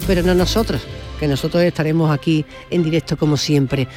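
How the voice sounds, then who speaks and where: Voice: mid-range voice, fairly even intonation, fairly quick, music in the background. A man hosting a live radio talk show, chatting casually and reacting briefly to others. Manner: chatty, interjects with short reactions. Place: radio studio.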